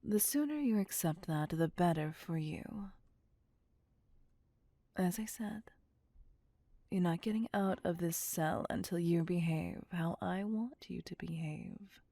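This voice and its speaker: A woman voicing a possessive, vain vampire mistress in an ASMR roleplay, breathy and often whispering, with condescending endearments. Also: fairly low pitch, lively intonation, warm tone, no echo, full character voice. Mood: possessive, coaxing. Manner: slow, deliberate, condescending endearments. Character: possessive, vain female vampire, commanding and seductive. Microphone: close, dry microphone.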